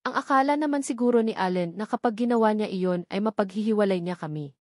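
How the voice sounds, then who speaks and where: A woman's voice: mid-range voice, quick pace, a little mellow, no room sound, clean studio sound. A woman reading a first-person romance novel as a scripted story narration, with acted dialogue. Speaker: audiobook narrator.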